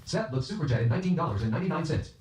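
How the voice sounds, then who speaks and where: A man's voice: on the high side, lively intonation, racing. A synthetic man's voice reading out viewer donation messages as text-to-speech. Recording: echoey, distant playback with noise.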